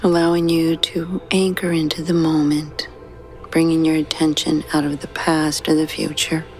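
Woman, low voice, guided sleep meditation, slow and hushed, soothing, long pauses, soft music behind. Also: little pitch movement. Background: soft background music.

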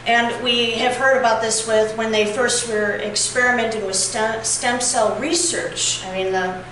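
A middle-aged woman pastor preaching a reflective, measured sermon at a pulpit, heard from a far camera. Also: medium pitch, a bit bright, a little hiss or hum. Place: church sanctuary, at a pulpit. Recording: very echoey church from a distance.

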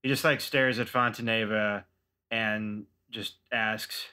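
A man's voice: lowish voice, fairly even intonation, takes it slowly, bright, forceful tone, smooth. A man playing a tabletop roleplaying game, casually describing what his character does.

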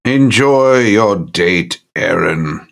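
Vampire courtier for a tabletop game, played by a man: sarcastic, mock-gallant, slow delivery with big swoops in pitch. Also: animated, creaky, fairly bright tone, heavily acted. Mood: dryly polite. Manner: sarcastic, exaggeratedly gallant, drawling. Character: sarcastic, theatrical vampire courtier flattering his prince.

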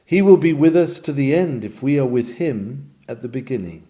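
A man reading a sermon aloud in a solemn, measured, formal manner. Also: mid-range voice, animated, warm tone. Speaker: reader of a sermon.

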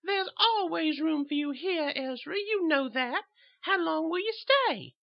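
A meek elderly spinster frets and stammers in a very high, fluttering voice, played by a man in falsetto. She pleads more than she speaks. The sound is dull and lo-fi. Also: expressive, unhurried, vocal fry, exaggerated voice. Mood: tender. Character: timid old spinster woman, fretful and meek.

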